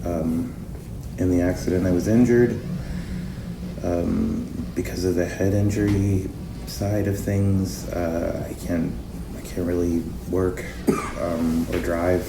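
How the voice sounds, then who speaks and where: An actor playing a reserved, uneasy man questioned by police, speaking in hesitant, halting phrases in a naturalistic fiction podcast. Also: low-pitched, little pitch movement, creaky, noisy background, exaggerated voice. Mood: hesitant, subdued. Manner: hesitant, halting, mumbling fillers. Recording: echoey room, prominent music behind.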